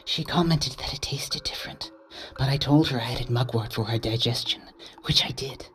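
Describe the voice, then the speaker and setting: A man voicing a gentle male wind sprite familiar, speaking in a soft, breathy whisper. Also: medium pitch, normal speed, pitched above the performer's own voice, natural voice. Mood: solemn.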